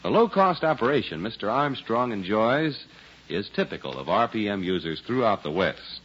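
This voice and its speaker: A man's voice: fairly low pitch, very animated, normal speed, a bit bright, breathy edge. A man working as a radio announcer, reading scripted copy in a polished, emphatic 1940s style. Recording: dull old radio recording.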